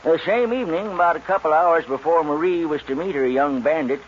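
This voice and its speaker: An actor playing an easygoing, plain-spoken western storyteller, narrating a 1940s radio drama. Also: male voice, fairly high pitch, fairly quick, lightly acted. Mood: folksy storytelling. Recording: muffled hissy 1940s radio recording.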